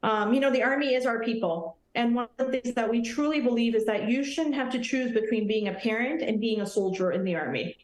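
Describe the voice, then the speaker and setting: A woman's voice: on the high side, brisk, hardly any pauses, echoey room, rough recording. A woman in her thirties from Nepal, an Army officer answering questions in an earnest, friendly way on a morning TV show. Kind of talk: morning TV interview.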